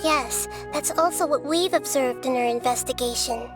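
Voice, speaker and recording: A woman voicing a small, wise, child-like goddess in a video game, youthful, gentle and composed. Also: high-pitched, close to an everyday voice. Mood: grave, composed. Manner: measured, composed, articulate. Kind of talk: video game cutscene acting. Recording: music playing behind the voice.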